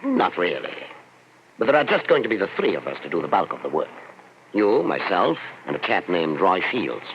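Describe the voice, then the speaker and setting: Deep, lively-voiced thoughtful professor. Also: male voice, normal speed, exaggerated voice. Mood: genial, measured. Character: thoughtful, uneasy older professor leading secret research. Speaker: professor, project leader. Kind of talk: old-time radio drama acting. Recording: muffled, noisy vintage radio recording.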